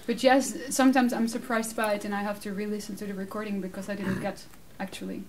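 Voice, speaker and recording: A Dutch woman in her thirties answering audience questions in a casual, animated way, laughing often; English is her second language. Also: medium pitch, fast. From Netherlands. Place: small room with curtained backdrop, seated. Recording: distant mic, faint room noise.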